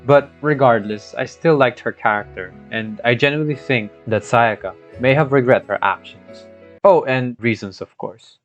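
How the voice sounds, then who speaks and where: A man's voice: mid-range voice, moderate pace. A young Filipino-accented man narrates a video game playthrough in a mid-range voice. The microphone is a little dull, and faint music plays underneath his scripted, casually worded storytelling. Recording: dull scuffed mic, music behind.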